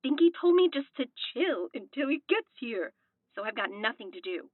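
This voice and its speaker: Lively, high-voiced young woman. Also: moderate pace, no room sound, narrow, tinny audio. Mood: uneasy. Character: young woman rink worker, squeamish true-crime fan, playful friend. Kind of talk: audio drama comedy acting.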